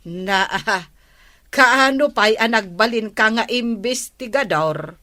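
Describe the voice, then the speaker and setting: Slow, deliberate village captain's wife. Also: female voice, a little high, animated, bright, forceful tone, heavily acted. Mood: brisk, evasive. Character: village captain's wife, purposeful, a bit evasive. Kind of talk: Ilocano radio-style comedy drama acting.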